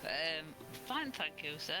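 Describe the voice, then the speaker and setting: A man playing a tabletop game, speaking hesitantly with many pauses. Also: a little high, big pitch swings, pushed and bright, faint music behind. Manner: hesitant, lots of ums. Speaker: player.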